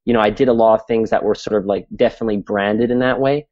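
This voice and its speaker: A man's voice: fairly low pitch, steady tone, fast talker, a little mellow. A young man from Singapore, a filmmaker guest, telling his story candidly in a casual interview with many fillers. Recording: dull lo-fi audio.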